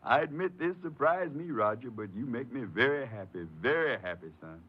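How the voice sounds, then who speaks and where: Old folksy father, American, 1950s radio drama: raspy, wheedling, sanctimonious, lively intonation, dull old recording. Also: medium pitch, moderate pace. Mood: proud, pious. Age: older. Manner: folksy, scripture-quoting, cajoling. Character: wheedling, pious old father leaning on his son.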